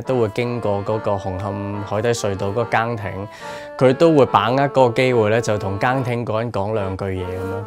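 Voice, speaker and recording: A man in his forties with a Hong Kong Cantonese accent, talking casually and reflectively in an interview in a small studio. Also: lowish voice. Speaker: radio drama writer and performer being interviewed.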